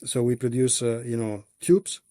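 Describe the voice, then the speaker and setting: A man from Italy with an Italian accent, answering interview questions as a company executive in a halting way with many pauses and restarts. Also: mid-range voice, flat delivery, dark tone, no room sound, clean studio sound.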